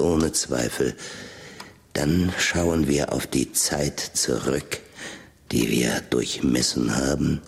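A man's voice: a bass voice, vocal fry, exaggerated voice. An actor playing a rich, brooding older man in a radio drama monologue, musing philosophically and ponderously, at times dropping toward a whisper.

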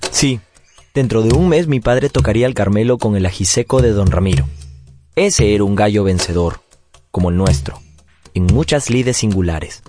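An actor plays a nostalgic storyteller recalling his childhood in a radio drama. His low voice is measured and poetic, over guitar music. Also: animated, natural voice. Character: nostalgic grown son recounting his childhood. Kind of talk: literary radio drama narration.

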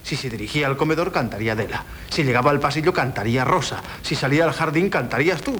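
An actor playing a flustered, scheming husband in a 1970s radio comedy, stammering and insistent, with a Spanish accent from Spain. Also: male voice, medium pitch, fast talker, a bit bright, natural voice. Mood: exasperated. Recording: noisy 1970s radio broadcast.

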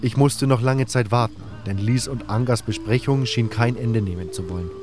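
Male narrator, mid-pitched, scripted storytelling, fantasy audio drama, music underneath. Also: moderate pace.

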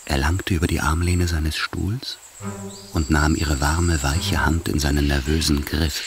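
A man narrating a radio drama in a literary style, measured and solemn. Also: very deep voice, steady tone, over music. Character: grave male literary narrator.

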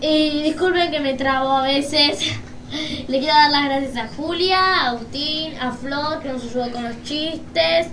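Argentine female radio co-host, high-pitched, informal, in a roomy, noisy recording. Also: measured pace, fairly bright tone. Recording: roomy, distant mic, noisy background.

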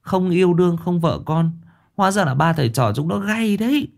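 A man's voice: sits high, animated, brisk, gravelly, darkish tone, pitched above the performer's own voice. A man voicing nosy village women who chatter and gossip.

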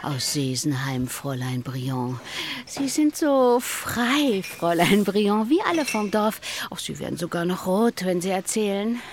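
A woman's voice: mid-range voice, expressive, a little slow, a little hiss or hum. An actress playing a forthright, teasing woman chatting in a radio play.

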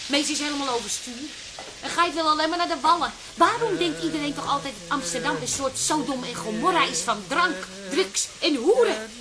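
Actress as a high-voiced, flustered woman. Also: normal speed, crowd noise, natural voice. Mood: exasperated. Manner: exasperated, dramatic. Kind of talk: radio drama acting.

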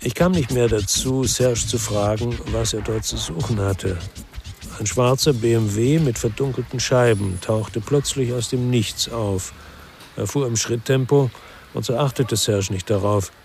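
An actor playing a weary, bitter former policeman who narrates a noir crime radio drama in a measured, laconic way. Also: medium pitch, gravelly, a little mellow, faint music behind. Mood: grim, reflective.

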